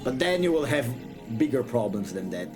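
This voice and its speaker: A man livestreams in a casual, joking way, breaking into playful exclamations. His middle-pitched voice moves up and down in an animated way. Music is clearly audible behind him. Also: moderate pace. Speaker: vtuber streamer. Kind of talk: casual livestream chat while reading a visual novel.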